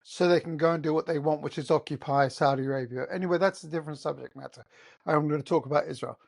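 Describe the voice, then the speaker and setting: A man's voice: on the high side, steady tone, fast, gravelly. A man with an English accent, hosting his own podcast as a solo monologue, talking emphatically and firing off rhetorical questions. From born in Qatar, raised in England. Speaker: podcast host.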